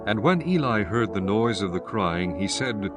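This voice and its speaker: A man reading scripted scripture narration in a solemn, measured manner. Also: on the low side, lively intonation, over music.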